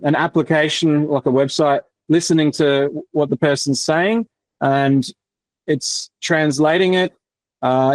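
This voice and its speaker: Man explaining tech on a call, halting. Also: a little high, no room sound, poor audio. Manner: halting, many fillers and restarts. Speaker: workshop presenter. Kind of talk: casual technical explanation.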